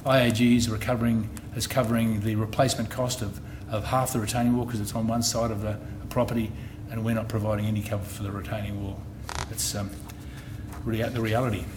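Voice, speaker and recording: A middle-aged man with an Australian accent, an insurance executive giving a presentation at a public meeting, measured and often restarting his phrases. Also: lowish voice, level tone. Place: meeting hall. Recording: noisy meeting room recording.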